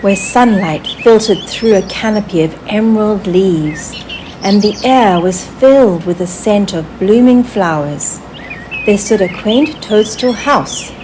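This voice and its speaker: A woman reading a children's story aloud in an expressive, deliberate storytelling manner. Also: medium pitch, noisy background. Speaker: author reading own story. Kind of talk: scripted children's storybook narration.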